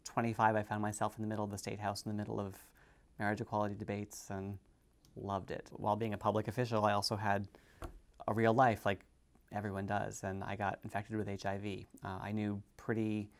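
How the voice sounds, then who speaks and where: A man who is a former state representative, giving a candid, animated personal account in a documentary interview. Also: fairly low pitch, brisk.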